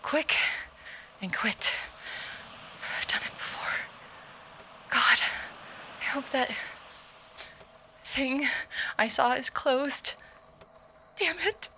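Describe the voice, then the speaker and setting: An actress playing a frightened, stranded explorer in an audio drama, speaking in a shaken, halting way. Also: female voice, high voice, sharp tone, full character voice. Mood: fearful, resolute. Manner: shaken, halting, breathy outbursts and whispers. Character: frightened, stranded woman explorer recording a desperate log.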